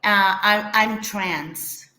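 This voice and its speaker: A woman chats casually as a panel member, speaking slowly at an ordinary pitch. Her delivery has a sarcastic edge, and the room around her is noticeably echoey. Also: bright, forceful tone. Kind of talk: casual chat.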